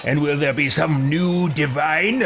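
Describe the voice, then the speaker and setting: A man's voice: a little high, fairly even intonation, measured pace, background noise. A man voicing a grandiose, irascible, imperious wizard from another world in a video game roleplay. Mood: imperious questioning.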